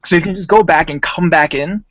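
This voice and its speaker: A man hosting a voice chat in a relaxed, slangy way, calling the guests "bro" and pressing them with questions. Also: a little high, brisk, no room sound. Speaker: host.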